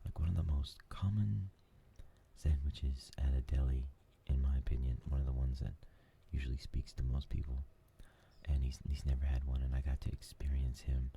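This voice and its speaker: Brief: ASMR gaming host, dark low voice, gravelly, soft rambling whisper. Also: male voice, steady tone, normal speed, slight background noise. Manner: rambling, soft, many fillers.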